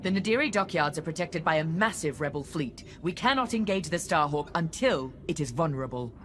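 An actress playing a commanding, businesslike squadron leader, giving a crisp, formal mission briefing in a video game. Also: female voice, sits low, expressive, a little fast, fairly bright tone, faint music behind. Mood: firm command.

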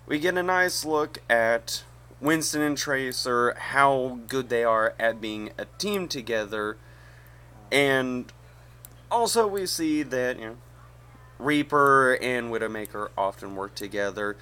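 Bright-voiced young man, halting video host. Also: mid-range voice, lively intonation, clean tone. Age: young adult. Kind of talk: casual reaction chat. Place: small indoor room.

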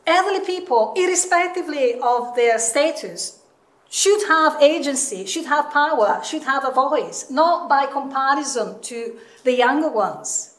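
A middle-aged woman with a Southern European accent addresses a seminar in a candid, emphatic way. Her voice sits fairly high. She speaks at a stand mic in a room with a strong echo. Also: expressive, unhurried, a bit bright. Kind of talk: informal public talk. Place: indoor seminar room with seated audience. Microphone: microphone on a stand. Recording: echoey room, some distance from mic.

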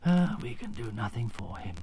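A storyteller voicing a gentle, courteous male tin man who speaks in a measured, sincere way. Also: medium pitch, expressive, a little hiss or hum, lightly acted. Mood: sad.

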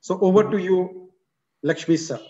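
A man's voice: high voice, moderate pace, darkish tone. A man with an Indian English accent, politely and formally moderating an online conference over a video call. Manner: polite and formal, says sir often. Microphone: computer mic on a video call. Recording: muffled video call audio.

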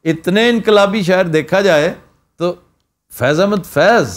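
A middle-aged man hosting a studio chat show, telling stories and reciting verse in an animated way. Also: high-pitched, slow. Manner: animated, storytelling with quoted voices. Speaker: host. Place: TV studio set.

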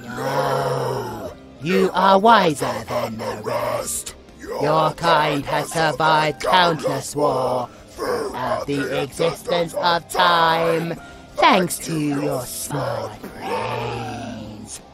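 A woman's voice: sits low, loud music behind the voice. A woman playing a sadistic, playful demon monster in a horror audio drama, gloating and speaking as a collective. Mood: gloating menace. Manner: slow, gloating, speaks of itself as we. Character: sadistic, playful hive-mind demon monster hungry for blood.

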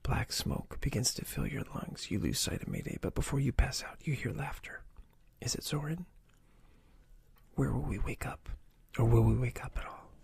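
Man softly whispering a storybook. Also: medium pitch, normal speed. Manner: soft whispering, breathy. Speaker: reader of a choose-your-own-adventure book. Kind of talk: ASMR whispered reading.

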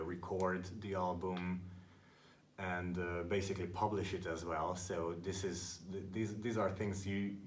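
A young man, a band member, answering interview questions hesitantly, with many fillers and repeated words, in a rehearsal room. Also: deep voice. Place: rehearsal room with a drum kit. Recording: distant mic with faint room hiss.

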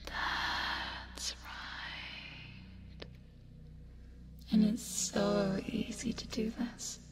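An eerie, tender female demon acted by a woman whispers a hypnotic ASMR roleplay over music. Her breathy words are drawn out extremely slowly, coaxing the listener in an even tone. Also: medium pitch. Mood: soothing, hypnotic. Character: eerie yet soothing female sleep paralysis demon.

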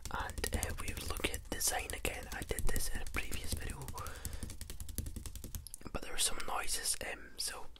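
A man with a slight cold, hosting an ASMR video in a slow, croaky whisper while reading aloud at a table. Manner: soft unvoiced whisper, unhurried. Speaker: ASMR channel host. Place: indoors at a table.

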